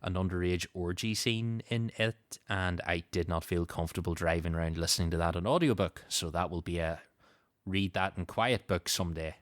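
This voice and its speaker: A man's voice: low voice, steady tone, moderate pace, dry recording, studio quality. A man hosting a podcast, moving between show announcements and casual chat. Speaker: podcast host.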